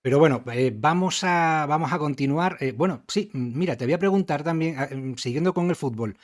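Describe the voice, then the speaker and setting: A middle-aged man from Spain, hosting an interview and asking long, friendly framing questions in a measured way. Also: on the high side. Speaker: podcast host and interviewer.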